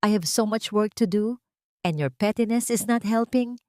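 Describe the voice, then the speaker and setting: A woman's voice: mid-range voice, normal speed, a little mellow, no echo, studio quality, voice pushed up, lightly acted. A woman narrator voicing a busy husband in an audio story, curt and indifferent. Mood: cold impatience. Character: cold, dismissive, busy husband.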